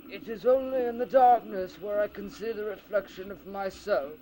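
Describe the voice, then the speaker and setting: A man voicing a cautious homebody who is wary of danger, speaking in a stiff, measured way in an amateur audio drama. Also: sits high, fairly even intonation, mellow, a little hiss or hum, lightly acted, low-quality recording.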